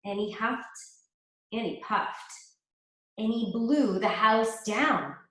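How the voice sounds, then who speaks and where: A woman teacher reading a fairy tale aloud to children, slowly and expressively. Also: fairly high pitch. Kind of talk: read-aloud storytelling. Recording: echoey room.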